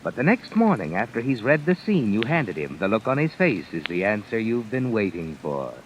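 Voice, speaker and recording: An actor playing a sinister, knowing narrator in an old radio mystery, taunting and insinuating in the second person. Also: male voice, fairly low pitch, big pitch swings, moderate pace, a little hiss or hum. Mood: sly, knowing. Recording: muffled vintage radio broadcast over music.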